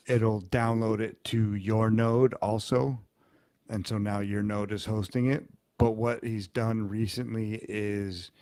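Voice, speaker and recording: A man co-hosting a podcast, explaining at length in a rambling, halting way with many restarts. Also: on the low side, darkish tone, no room sound. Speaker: podcast co-host. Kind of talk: casual chat.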